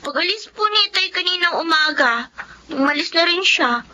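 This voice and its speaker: Very high-pitched, plaintive Filipino girl. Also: animated, normal speed, pushed and bright, exaggerated voice. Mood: respectful, uneasy. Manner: polite, plaintive, earnest. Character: young tearful daughter, dutiful and hurt girl. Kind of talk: Filipino radio drama acting. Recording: dull lo-fi audio with faint noise.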